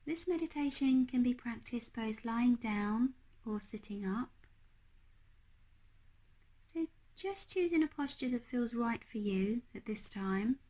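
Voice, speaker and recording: A woman leading a guided meditation as a teacher, speaking in a gentle, unhurried, hushed manner. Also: a little high, rough recording.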